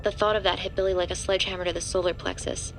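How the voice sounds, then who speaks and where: A woman's voice: lowish voice, flat delivery, racing. A woman delivering scripted third-person narration for a horror audio drama. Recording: music playing underneath.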